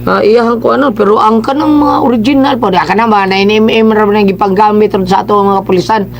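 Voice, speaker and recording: Casting: male radio co-host, high-pitched, Cebuano accent, casual storytelling banter. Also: fairly even intonation, fast, slight background noise.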